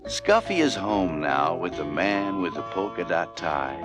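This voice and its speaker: Male narrator, lowish pitch, unhurried pace, expressive children's storytelling, deliberate phrasing, loud music behind.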